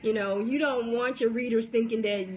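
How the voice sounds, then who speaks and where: A woman's voice: on the high side, moderate pace, highs cut off, a little hiss or hum. Chatty woman with a youthful baby voice. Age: 40s. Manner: chatty and animated, frequent restarts and laughs. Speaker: book promotion coach and podcaster. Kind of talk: casual live-stream chat.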